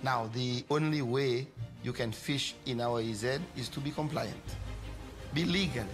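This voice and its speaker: A man's voice: mid-range voice, measured pace. Emphatic man with music behind. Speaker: documentary speaker.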